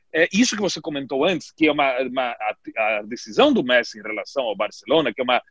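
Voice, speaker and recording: A man discussing football in casual talk, animated and hesitant, with many fillers and restarts. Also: high voice, slightly rough, no room sound, highs cut off. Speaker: football commentator. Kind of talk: podcast discussion.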